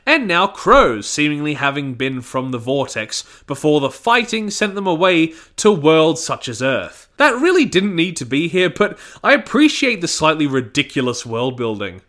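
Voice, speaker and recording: A man's voice: fairly high pitch, big pitch swings, normal speed, few pauses, fairly bright tone. A man reading a scripted review of an audio drama in a casual, wry manner.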